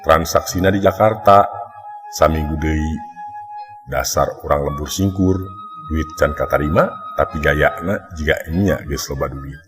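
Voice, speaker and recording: A man's voice: very deep voice, sing-song, a little slow. A man with a Sundanese accent narrating a mystery folk tale in a dramatic storytelling style. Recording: long echoing reverb, music underneath. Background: background music.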